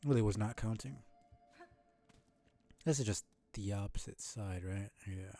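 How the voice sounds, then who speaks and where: A man's voice: lowish voice, measured pace, dark tone, faint music behind. A man streaming a video game with casual live commentary, musing aloud to himself and swearing now and then.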